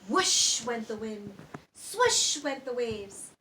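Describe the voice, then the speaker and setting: A woman tells a children's story in an animated, high, bright voice. She takes it slowly and acts out sounds. She sits back from the microphone. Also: roomy sound. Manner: expressive, with sound effects and questions to listeners. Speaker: storyteller reading aloud to children. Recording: distant microphone with faint background noise.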